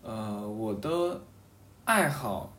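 Low-voiced man in an echoey room. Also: expressive, a bit bright, distant mic. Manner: halting, thinking aloud, repeats phrases. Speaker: podcast guest talking about a hobby of seal carving. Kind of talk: casual conversation, reflective. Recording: roomy echo, off-mic, faint background noise.

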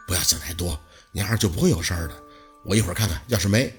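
A narrator plays a devoted young man from an audiobook drama, speaking in a low, gentle voice. He is calm and reassuring, with music behind him. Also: lightly acted. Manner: unhurried, gentle, steady.

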